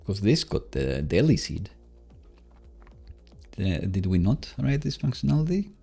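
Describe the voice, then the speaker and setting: Guy live coding, mellow creaky voice, talks himself thru stuff, stops and starts, bit of music under it. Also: lowish voice, sing-song. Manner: halting, musing, self-questioning. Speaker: live-streaming programmer host. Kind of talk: casual live coding commentary, thinking aloud.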